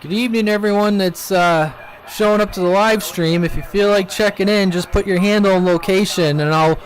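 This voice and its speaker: A man's voice: high voice, fairly even intonation, brisk, rough recording. A man casually hosting a CB radio live stream and check-in, with static around his voice. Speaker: live stream host, club check-in operator. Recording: clear radio static and clicks.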